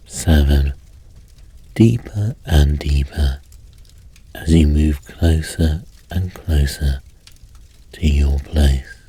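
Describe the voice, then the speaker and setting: Male sleep hypnosis guide, unhurried and gentle, soft breathy husk, measured pauses. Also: rumbling low. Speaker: hypnosis and meditation host. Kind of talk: guided sleep hypnosis, slow soothing narration.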